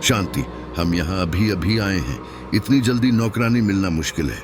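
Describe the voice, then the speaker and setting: A man's voice: low voice, expressive, moderate pace. A man voicing a skeptical young husband in a cartoon, dismissive and exasperated with his wife. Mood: doubtful. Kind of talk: cartoon voice acting. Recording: loud background music.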